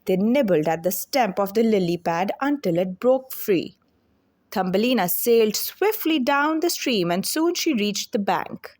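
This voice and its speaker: A woman reading a children's fairy tale aloud as an expressive, deliberate storyteller. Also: medium pitch.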